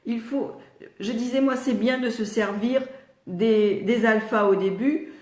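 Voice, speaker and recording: A woman's voice: fairly high pitch, a little slow, a little mellow. Older woman teacher with a slight cold. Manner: chatty, explanatory, addresses viewers by name. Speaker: retired speech therapist answering parents live. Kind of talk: live Q&A teaching. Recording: roomy echo.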